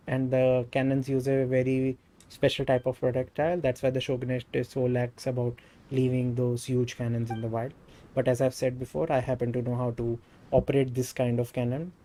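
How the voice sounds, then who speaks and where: A man's voice: mid-range voice, mellow. A man with an Indian accent, a native Hindi speaker, doing a live game stream with casual delivery. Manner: stumbles over words when reading aloud. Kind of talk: live gameplay stream, reading game dialogue aloud with casual asides.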